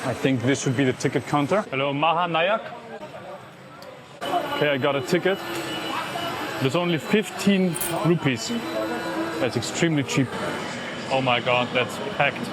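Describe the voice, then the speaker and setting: A man's voice: medium pitch, moderate pace, pauses often. A man from Austria with an Austrian accent and German as his first language, casually vlogging as he travels. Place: outdoors on crowded city festival streets. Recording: very noisy street with traffic.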